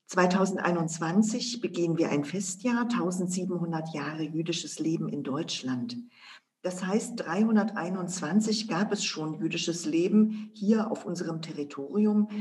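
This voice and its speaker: A woman's voice: lowish voice, moderate pace, darkish tone. A woman co-hosting an educational podcast, formally addressing listeners. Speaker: podcast co-host. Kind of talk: scripted podcast moderation.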